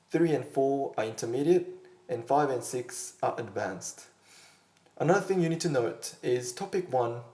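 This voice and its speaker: Man, teacher, steady explanatory delivery, roomy echo. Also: mid-range voice. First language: Korean. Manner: steady, explanatory, addresses viewers directly. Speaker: Korean language teacher. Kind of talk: scripted online lesson.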